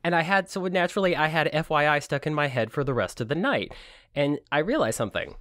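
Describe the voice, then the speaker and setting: A man's voice: a little high, lively intonation, fast, a bit bright, no echo, studio quality. A man co-hosting a book-club podcast in casual, joking chat. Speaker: podcast co-host.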